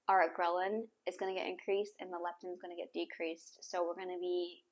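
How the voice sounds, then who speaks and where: A young adult woman hosting a podcast, giving casual advice to camera in an encouraging, self-correcting way. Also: lowish voice. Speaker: podcast host. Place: small bare room indoors with hard floor. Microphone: clip-on lapel mic.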